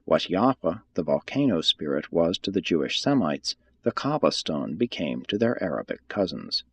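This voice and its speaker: A man reading a religious text aloud as scripted audiobook narration, in a measured, formal and solemn manner. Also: low-pitched, very animated, a bit bright.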